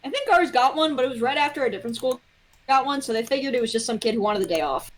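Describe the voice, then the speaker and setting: A woman's voice: high voice, animated, racing. A young woman guesting on a livestream, chatting casually and telling anecdotes over a slightly noisy line.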